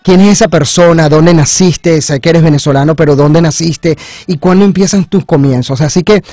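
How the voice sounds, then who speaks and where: A man's voice: a little high, normal speed, dark tone, no room sound. A man hosting a conversational radio interview, enthusiastic and repeating phrases for emphasis. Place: small radio studio. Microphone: studio microphone on boom arm, headphones.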